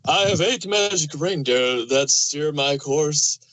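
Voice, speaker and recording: A man's voice: a little high, expressive, slow pace, a bit bright, dry recording, rough recording. A man voicing a jolly old Santa Claus, kindly and hearty, telling his lines in storybook rhyme. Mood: earnest, troubled. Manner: hearty, theatrical storytelling in verse. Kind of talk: rhyming storybook fan fiction reading.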